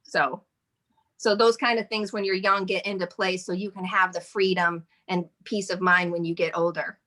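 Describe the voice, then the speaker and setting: A woman's voice: fairly low pitch, flat delivery, normal speed, bright, forceful tone. A woman in her forties, guest in a casual interview, talking in a chatty, rambling way with frequent laughs. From Michigan. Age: 40s. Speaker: interview guest, modeling agency owner.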